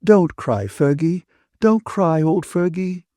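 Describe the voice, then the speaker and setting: A cheerful, soothing young woman from a novel, voiced by a male narrator, speaks in a higher register. The manner is gentle and unruffled, lightly teasing, in a very dry recording. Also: slow pace, dry recording.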